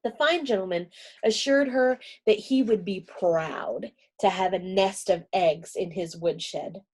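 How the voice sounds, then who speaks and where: A woman reading a children's storybook aloud, gentle and expressive. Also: mid-range voice, slow pace, breathy edge.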